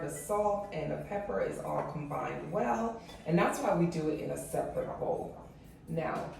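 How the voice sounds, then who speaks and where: A woman's voice: low-pitched, vocal fry, a little mellow. A woman hosting a cooking video, explaining each step in a casual, chatty way as she cooks in her home kitchen. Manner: chatty, step-by-step, addresses viewers as guys. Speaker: cooking channel host. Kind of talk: casual cooking demo, talking to viewers. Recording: echoey kitchen, mic far off, noisy.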